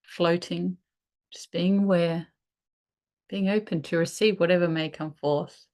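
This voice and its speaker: A woman leading a guided meditation, speaking in a soothing, unhurried way with pauses between phrases. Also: on the low side, fairly even intonation, darkish tone.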